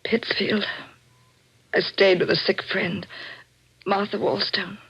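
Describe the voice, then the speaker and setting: An actress playing a distraught, fearful wife in a 1940s radio mystery, with a breathless, melodramatic delivery. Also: female voice, mid-range voice, level tone, takes it slowly, a bit bright, husky, exaggerated voice. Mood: shaken, subdued. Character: distraught young wife who finds her husband murdered. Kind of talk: 1940s radio mystery acting, first-person narration and dialogue. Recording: muffled old-time radio recording.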